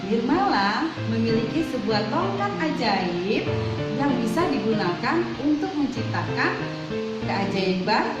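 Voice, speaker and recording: A woman's voice: a little high, sing-song, a little slow. A woman teacher giving a scripted presentation in a recorded video. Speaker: primary school teacher. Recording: very echoey muffled video with loud music. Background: loud music with guitar.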